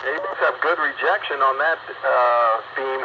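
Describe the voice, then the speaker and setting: A man's voice: mid-range voice, moderate pace. A man giving casual advice and chat over hobby radio. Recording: dull, noisy radio transmission. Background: clear radio noise.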